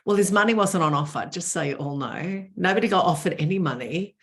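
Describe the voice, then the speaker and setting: A woman's voice: fairly low pitch, fairly quick, a bit bright. A woman in her sixties, a guest in a conversational interview, speaking earnestly and emphatically and repeating words for stress.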